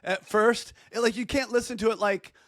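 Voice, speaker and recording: A man's voice: sits high, fairly even intonation, normal speed, a bit bright, no room sound, clean studio sound. A man hosting a podcast, chatting casually with enthusiasm and easy laughter. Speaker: podcast host. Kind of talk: casual chat.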